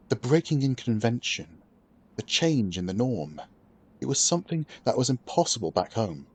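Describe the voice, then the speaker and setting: A man giving scripted fantasy story narration as an audiobook narrator, with a steady, even storytelling delivery. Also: mid-range voice.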